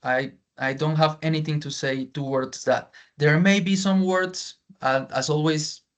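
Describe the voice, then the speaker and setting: A man's voice: fairly high pitch, steady tone, measured pace. A man with a strong Spanish accent, a native Spanish speaker, teaching English and giving feedback on a video call, often restating points in Spanish. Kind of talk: online feedback session.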